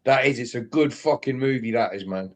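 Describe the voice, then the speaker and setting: A man's voice: mid-range voice, brisk. A man chatting casually as a livestream guest, swearing freely and often repeating himself. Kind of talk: casual chat.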